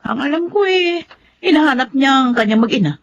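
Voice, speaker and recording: A woman's voice: high voice, expressive, fairly quick, highs cut off, over loud music. An older woman with a Filipino accent playing an inquisitive, approving mother in a TV drama. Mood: prying.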